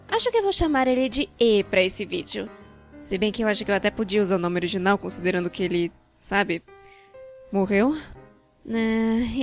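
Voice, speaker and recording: A Brazilian woman narrating a scripted storytime video in an expressive, wry, colloquial way. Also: medium pitch. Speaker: narrator of a fan-dubbed animated storytime video. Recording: background music.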